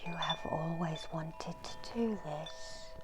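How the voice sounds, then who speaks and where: A middle-aged woman narrating an ASMR guided meditation in a slow, hushed, soothing manner, often slipping into whispers. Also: sits low, music in the background. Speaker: guided meditation host, Reiki healer. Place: indoors in a small room. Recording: clear background noise and ambience.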